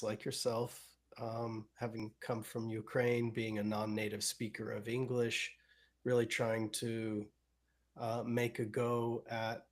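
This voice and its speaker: A man co-hosting an interview, asking long questions while searching for his words. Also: medium pitch. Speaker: co-host, former instructor.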